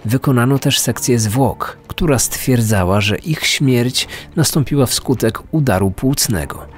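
A man's voice: medium pitch, measured pace. A man narrating a scripted true-crime podcast. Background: faint music.

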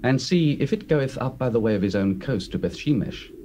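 An actor playing an authoritative pagan priest, giving grave, oratorical counsel in a dramatized scripture. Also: male voice, mid-range voice, lively intonation, a little fast, a little mellow, natural voice. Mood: grave, commanding. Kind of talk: dramatized scripture, stage acting. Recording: faintly noisy old cassette.

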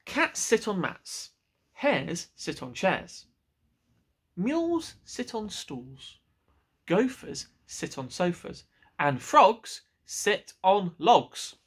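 A man's voice: high-pitched, very animated, fairly bright tone, breathy edge, voice pushed up, exaggerated voice. A man voicing a bossy, stubborn cat from a children's picture book, insistent and emphatic. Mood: firm, instructive. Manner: emphatic, drawn-out, insistent delivery. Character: bossy, stubborn male cat laying down rules.

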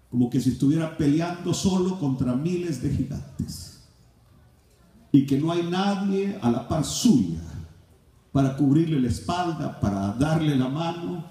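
A middle-aged man preaching a sermon, shifting between shouted passages and hushed, low stretches. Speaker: pastor. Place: large church hall. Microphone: handheld mic, filmed from far off. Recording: echoey hall from far off.

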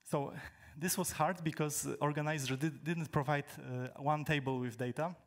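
Halting young man giving a talk. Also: fairly high pitch, steady tone. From Poland. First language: Polish. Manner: halting, many fillers and restarts. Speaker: conference speaker. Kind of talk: technical talk to an audience. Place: large hall with stage, indoors.

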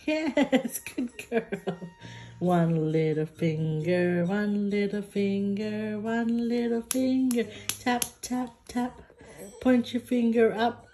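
A woman slowly coaxing a baby with animal sounds and finger games, indoors at home. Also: medium pitch, warm tone, soft background music. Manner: slow, coaxing, sing-song baby talk. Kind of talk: talking to a baby.

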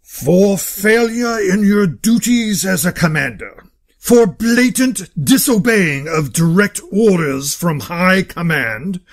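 A man's voice: high voice, animated, slow, heavily acted. A man playing a stern, pompous court-martial judge in an audio drama, speaking in formal, declamatory oratory. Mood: stern, condemning.

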